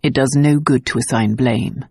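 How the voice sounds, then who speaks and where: A woman reading an audiobook voices a calm gentleman who murmurs soothing reassurance. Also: extremely low pitch, moderate pace, breathy edge, dry recording, studio quality, pitched below the performer's own voice, exaggerated voice. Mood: reassuring.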